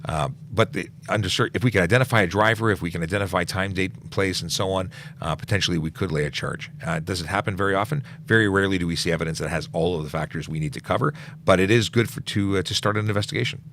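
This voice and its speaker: A man's voice: deep voice, fast talker, fairly bright tone, slight background noise. A middle-aged man, a police officer, answering viewer questions on a casual livestream, stopping to restart his sentences and filling gaps with "uh" as he goes.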